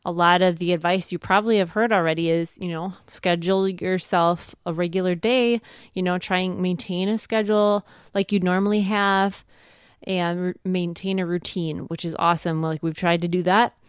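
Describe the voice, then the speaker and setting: A woman's voice: lowish voice, fairly even intonation, moderate pace, clean tone, no echo, studio quality. A woman, a podcast co-host, chatting casually and encouragingly as she shares advice and anecdotes from home life. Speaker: podcast co-host, homeschooling mother.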